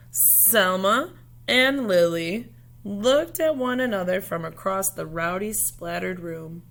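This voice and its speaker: A woman reading a children's picture book aloud expressively, in measured phrases with pauses, as a storyteller speaking to young listeners. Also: medium pitch, fairly bright tone.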